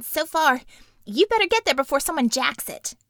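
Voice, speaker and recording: A woman's voice: high-pitched, very animated, normal speed, a bit bright, dry recording, studio quality, pitched above the performer's own voice, heavily acted. A woman voicing an urgent, bossy young woman who guides a squad over a comm in clipped commands, in an audiobook. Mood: urgent, commanding.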